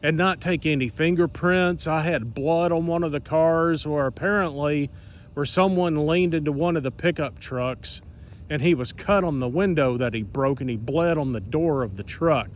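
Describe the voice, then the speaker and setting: American male storyteller, voice a bit high, blunt and informal delivery, light background noise. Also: moderate pace. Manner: blunt, sarcastic, plain-spoken. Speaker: storyteller recounting own experience to followers. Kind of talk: casual spoken storytelling.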